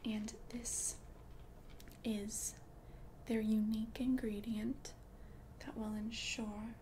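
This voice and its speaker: An ancient witch, played by a woman, murmurs in a soft, breathy ASMR whisper. The delivery is unhurried and barely varies in pitch, confident and soothing as potions are brewed. Noticeable background noise sits under the voice. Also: flat delivery. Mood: calm, confident. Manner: slow, deliberate, soothing, repeats words for emphasis.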